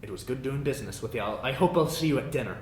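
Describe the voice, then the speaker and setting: A man voicing a small, greying dwarf innkeeper, brisk and folksy, in a tabletop game. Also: medium pitch, animated, echoey room, slight background noise, natural voice, poor audio. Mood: brisk, businesslike.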